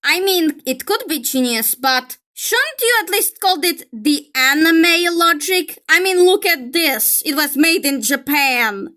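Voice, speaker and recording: A woman's voice: high voice, expressive, unhurried, few pauses, bright, forceful tone. A woman giving opinionated commentary in an exasperated, emphatic manner. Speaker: video creator. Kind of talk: commentary reacting to a film critique.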